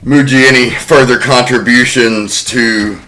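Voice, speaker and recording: A man's voice: medium pitch, fairly even intonation, unhurried, pushed and bright, poor audio. A man hosting a podcast, casually walking through researched facts about an old film. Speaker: podcast host. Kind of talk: casual film-history chat.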